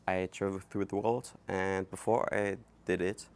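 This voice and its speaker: A man from Germany with a German accent, hosting his own podcast in a casual, halting monologue recorded outdoors, thinking aloud with many fillers. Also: sits low, little pitch movement, clear, resonant tone. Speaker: podcast host. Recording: faint outdoor background noise. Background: outdoor ambience.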